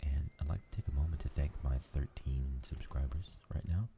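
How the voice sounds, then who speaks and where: A man hosting a new ASMR gaming channel, talking in a soft whisper and rambling with lots of fillers. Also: rumbling low, brisk, gravelly, background noise.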